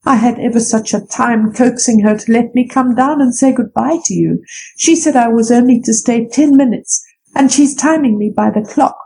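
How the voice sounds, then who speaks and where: A woman voicing a gentle young girl who talks in a mournful, sobbing way. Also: fairly high pitch, moderate pace, creaky, poor audio. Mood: tearful.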